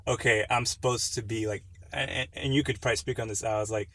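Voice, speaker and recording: A man co-hosting a casual podcast discussion, explaining his view in a measured, laid-back way. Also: medium pitch, fairly even intonation, fairly bright tone, dry recording. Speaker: podcast co-host.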